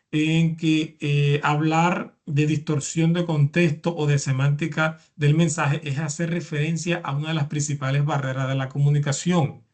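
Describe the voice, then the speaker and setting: A man's voice: fairly high pitch, darkish tone. A man giving a recorded university lecture, explaining step by step and often stumbling over repeated words and fillers.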